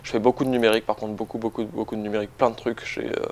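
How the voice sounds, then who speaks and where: Easygoing young Frenchman with creaky voice. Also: fairly low pitch, flat delivery, very fast, a little hiss or hum. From Toulouse, France. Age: late 20s. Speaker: interviewed photographer. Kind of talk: casual interview chat.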